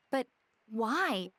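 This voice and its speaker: A woman's voice: high voice, a bit bright, breathy edge, dry recording, full character voice. A woman playing a composed, confident warrior leader with a teasing, gracious streak in an anime game. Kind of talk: anime video game voice acting.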